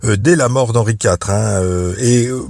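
A man who is a historian and journalist, interviewed as a radio guest and giving measured, hesitant explanations with frequent pauses. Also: lowish voice, creaky, darkish tone, no echo, studio quality.

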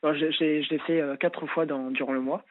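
A man in his late twenties calling in to a radio show, speaking haltingly with many fillers and restarts. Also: on the high side, steady tone, dry recording. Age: late 20s. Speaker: caller. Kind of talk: phone-in call. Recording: tinny phone line.

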